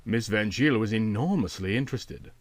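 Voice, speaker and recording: A man narrating a literary story from a script in a dramatic, expressive storytelling manner. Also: fairly low pitch, fairly quick, dry recording, studio quality. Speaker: audiobook narrator.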